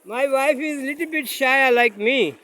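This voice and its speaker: A man whose first language is Urdu, vlogging outdoors by a mountain stream in halting, simple English with many fillers, filmed on a phone without a separate mic. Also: very high voice, pushed and bright. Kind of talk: casual vlog narration. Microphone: phone camera, no separate mic.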